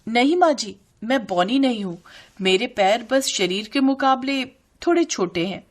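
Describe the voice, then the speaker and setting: A woman's voice: on the high side, animated, measured pace, slight background noise. A woman voicing a sweet, eager young daughter-in-law who speaks politely and pleadingly in a family story.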